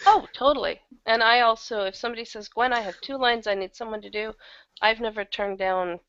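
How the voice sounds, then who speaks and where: A woman's voice: fairly high pitch, fairly quick, fairly bright tone, muffled recording, slight background noise. A woman with a slightly worn, thrashed throat, talking casually and candidly as an interview guest who acts in audio drama.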